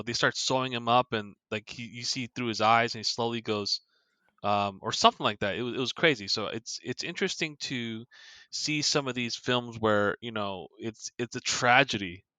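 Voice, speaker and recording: A man's voice: mid-range voice, normal speed, sharp tone, dry recording. A man co-hosting a casual movie podcast, talking in a rambling way with lots of fillers and restarts. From Korea. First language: Korean. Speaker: podcast co-host.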